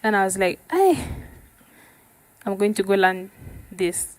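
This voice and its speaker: A woman's voice: medium pitch, animated, a little slow, no echo. A woman with a Kenyan accent telling her story casually as an interview guest. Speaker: guest, sound engineer and musician.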